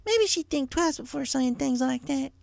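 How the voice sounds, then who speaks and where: A feisty little pony girl from a storybook. The voice is very high and childlike, with a stubborn, plaintive edge. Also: animated, moderate pace, vocal fry, no echo, heavily acted. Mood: grudging.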